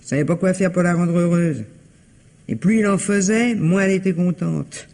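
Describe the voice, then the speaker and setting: A woman's voice: fairly low pitch, moderate pace, vocal fry, warm tone. A woman acting as a curt, grudging housekeeper in a French radio mystery, brusque and colloquial. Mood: grudging, gossipy. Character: gruff, curt housekeeper, grudging and plain-spoken.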